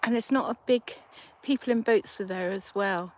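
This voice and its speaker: A woman, hosting a casual livestream outdoors by a river and chatting with viewers through a handheld phone. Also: mid-range voice. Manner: slow, chatty, thinking aloud. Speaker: livestream host. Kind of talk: casual chat with live viewers.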